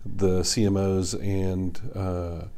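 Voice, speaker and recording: A middle-aged neurosurgeon from Kentucky, talking casually in an interview with many pauses and fillers. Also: male voice, low-pitched, little pitch movement, mellow. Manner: halting, many ums and uhs. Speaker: neurosurgeon, medical staff president.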